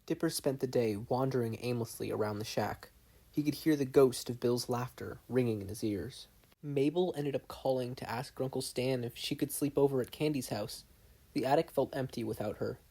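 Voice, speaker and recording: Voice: medium pitch, moderate pace, mellow. A narrator reading fan fiction aloud in a plain storytelling manner. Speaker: podfic reader and narrator.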